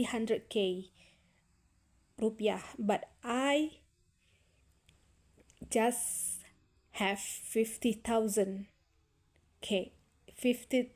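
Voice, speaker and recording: Indonesian girl vlogging in english, super slow, searches for words a lot, soft mellow tone. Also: a little high. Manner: halting, searching for words, many fillers and restarts. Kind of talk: casual storytelling to camera.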